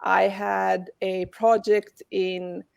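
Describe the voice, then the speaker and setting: A woman with a Hungarian accent answers questions in an online webinar. She talks reflectively, at a mid pitch, over a video call connection. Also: flat delivery, drawn-out words, creaky, a little mellow, smooth. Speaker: guest speaker. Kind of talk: webinar interview.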